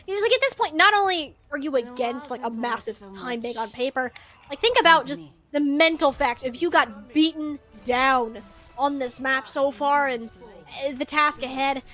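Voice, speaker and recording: A woman casts a live esports match in a strikingly high-pitched voice. Her play-by-play is casual and wry, full of gamer slang. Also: moderate pace, pushed and bright. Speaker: esports commentator. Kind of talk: live play-by-play game commentary.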